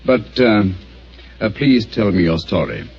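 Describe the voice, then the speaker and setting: An actor playing a calm, sharp male detective who talks with precise, dryly ironic deduction in an old radio drama. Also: fairly low pitch, measured pace, gravelly, heavily acted. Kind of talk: 1940s radio acting. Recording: dull old radio broadcast.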